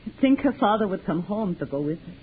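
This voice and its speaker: A woman's voice: mid-range voice, lively intonation, quick pace, natural voice. An actress voicing a fretful, thrifty old mother who grumbles, in a hissy, tinny 1940s radio drama. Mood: grumbling. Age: older. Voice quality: grumbling, fussing over money.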